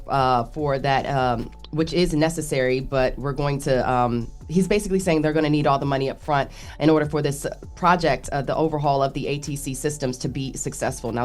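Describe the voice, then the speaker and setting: An American woman with a notably low voice delivers radio news. She moves between reading copy and chatting, often stumbling over a word and starting again. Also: a little mellow. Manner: halting, stumbling over words and restarting. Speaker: news reporter on a radio morning show. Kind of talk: news report with conversational asides.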